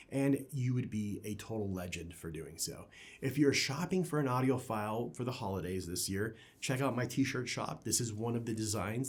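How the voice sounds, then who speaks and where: A man's voice: medium pitch, breathy edge. A man hosting a product review channel, talking in a conversational, opinionated way with emphatic phrasing. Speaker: audio gear reviewer and channel host.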